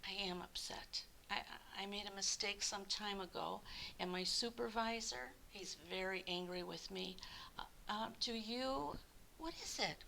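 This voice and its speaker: A woman playing a worried, sheepish male postman in a children's storybook, halting and subdued. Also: medium pitch, gravelly, pushed and bright, a little hiss or hum, low-quality recording.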